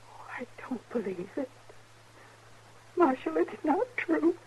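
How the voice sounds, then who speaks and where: High-voiced, proud frontier mother. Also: big pitch swings, slow, darkish tone, full character voice. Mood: shocked, grieving. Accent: American. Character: proud, devoted frontier mother of a grown son. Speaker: radio drama actor. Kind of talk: 1950s radio western acting. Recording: muffled old radio recording, faint noise.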